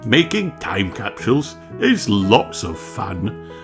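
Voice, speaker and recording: A narrator reading a scripted children's storybook aloud in an expressive, deliberate way. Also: medium pitch, music in the background.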